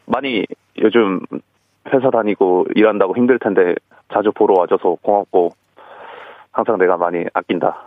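A man's voice: lowish voice, steady tone, moderate pace, no echo. A man in his twenties calling in to a radio quiz, answering briefly and modestly. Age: 20s. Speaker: caller, quiz contestant. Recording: tinny phone line.

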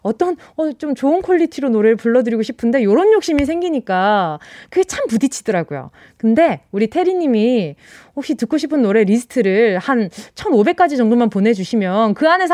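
A woman radio DJ with a slight Busan accent, chatting playfully with her listeners and laughing as she reads their messages. Her voice sits high and swings widely in pitch. The studio sound is close and dry. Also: no echo. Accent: Busan dialect. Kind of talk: live radio show hosting, reading listener messages. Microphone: studio microphone.

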